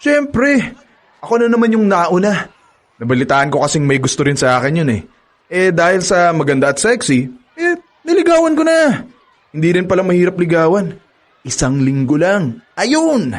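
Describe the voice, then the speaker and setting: A man's voice: high voice, very animated, normal speed. An actor playing a cocky, boastful young male college student who uses women, laughing smugly as he brags. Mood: cocky boasting. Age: young adult. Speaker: actor in a radio drama. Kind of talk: radio drama acting.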